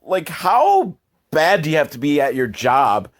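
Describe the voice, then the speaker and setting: A man's voice: fairly high pitch, huge pitch swings, a little slow. A man hosting a comedic film review show, talking in a casual, rambling, wry way and swearing freely.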